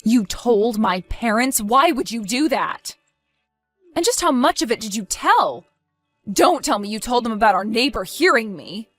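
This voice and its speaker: Audio-story role: desperate, evasive wife played by a woman, very high voice, animated swings, pleading and defensive. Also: a little slow, faint music behind, full character voice. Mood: hurt, indignant. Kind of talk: acted audio story dialogue.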